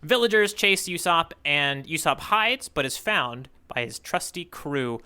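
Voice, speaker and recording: A man's voice: a little high, lively intonation, measured pace, bright, forceful tone, clear, resonant tone. A man co-hosting a podcast, casually recapping a story in a rambling, self-correcting, hesitant way. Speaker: podcast co-host recapping manga chapters.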